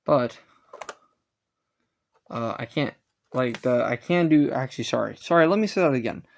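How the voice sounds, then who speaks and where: Casual man with a gravelly, creaky voice. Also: medium pitch, lively intonation. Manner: halting, self-correcting, unscripted. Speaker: hobbyist reviewer. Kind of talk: casual rambling gadget review.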